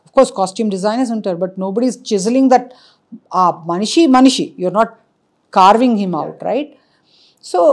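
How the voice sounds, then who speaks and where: A woman's voice: mid-range voice, darkish tone. A woman who produces puppet shows, explaining her work in an interview and switching between Telugu and English. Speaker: puppet show producer and founder.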